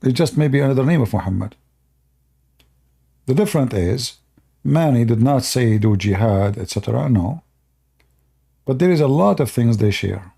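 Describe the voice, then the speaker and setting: A man with an Arabic accent explains at length on a live stream, circling back and repeating his points. His voice is husky with a gravelly edge and a dark, mellow tone. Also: medium pitch, lively intonation, normal speed, pauses often. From Middle East. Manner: unscripted explaining, with fillers and repetition.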